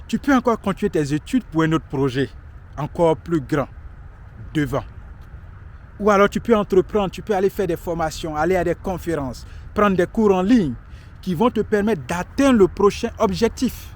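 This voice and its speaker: A man's voice: high voice, expressive, normal speed. A man in his late thirties giving emphatic, repetitive motivational life advice outdoors in a city street. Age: 30s. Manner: emphatic, preachy, repeats himself for stress. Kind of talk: motivational talk to camera.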